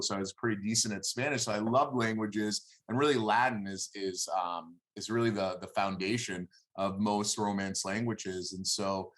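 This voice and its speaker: A man in his thirties whose first language is Hebrew, answering interview questions in a halting, conversational way, repeating words as he thinks aloud. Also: fairly low pitch, fairly bright tone. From born in Israel, lived there until seven. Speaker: interview guest, former college wrestler.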